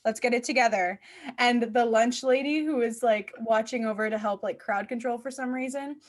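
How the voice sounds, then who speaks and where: A woman's voice: a little high, moderate pace. A woman hosting a podcast interview in a casual, chatty and informal way, with plenty of slangy filler. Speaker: podcast host and children's theater director.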